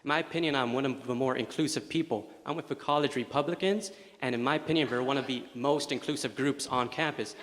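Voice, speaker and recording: A man's voice: mid-range voice, quick pace, hardly any pauses, fairly bright tone, a little hiss or hum. A young man from Chile, a student, giving an informal, opinionated public comment at a campus forum. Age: young adult. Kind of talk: public comment at a town hall. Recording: large hall reverb.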